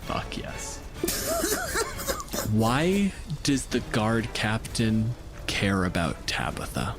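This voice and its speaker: Male game master, low-pitched, very animated casual storytelling, clear music underneath. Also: extremely slow. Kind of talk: narrating a tabletop game.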